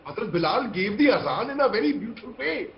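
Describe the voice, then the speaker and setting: A man's voice: high-pitched, sing-song, fast talker, creaky. A man with an Indian accent giving emphatic, impassioned, repetitive commentary as a panelist on a TV debate over a video call. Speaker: TV debate panelist, army veteran. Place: indoor room on video call. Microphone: video call microphone. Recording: muffled, echoey video-call audio.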